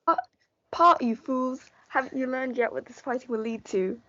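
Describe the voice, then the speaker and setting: A woman's voice: sits high, moderate pace, sharp tone, dry recording. A female student performer playing a friendly, practical young man who keeps the peace in a reader's theatre play. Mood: urgent, pleading. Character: young male Montague, friendly peacemaking cousin, coaxing and practical. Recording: dull, muffled lo-fi audio.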